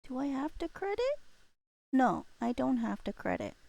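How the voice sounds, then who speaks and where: A woman's voice: sits high, wildly swooping pitch, normal speed, creaky, darkish tone, no echo. A woman hosting a livestream, chatting casually and playfully with viewers in a rambling way. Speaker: livestream host. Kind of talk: casual chat with live viewers.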